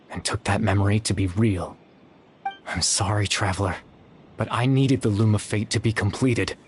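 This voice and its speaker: A man voicing a young man who exists only in memory, calm and apologetic, speaking in a measured, quiet, explanatory way. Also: fairly low pitch, slight background noise, close to an everyday voice. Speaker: game character. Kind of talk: video game voice acting.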